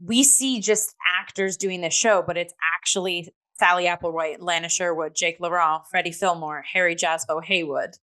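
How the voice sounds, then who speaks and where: A woman's voice: lowish voice, fairly even intonation, normal speed, few pauses, bright, forceful tone. A woman co-hosting a theatre review podcast, explaining things casually and loosely, example by example.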